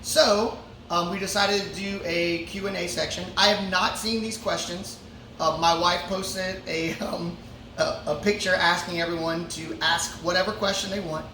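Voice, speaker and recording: A relaxed male musician chats through fan questions, joking and stumbling over fillers. He stands a little back from the mic, and his voice rings around a roomy brewery with a steady hum of noise behind him. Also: high-pitched, moderate pace. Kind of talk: casual Q&A chat. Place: brewery before a show. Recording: roomy echo, from a distance, ambient noise.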